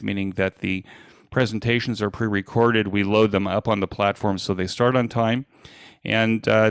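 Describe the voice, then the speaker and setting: Low-pitched, gravelly man presenting. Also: dry recording, studio quality. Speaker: event organizer presenting. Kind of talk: informal slide presentation.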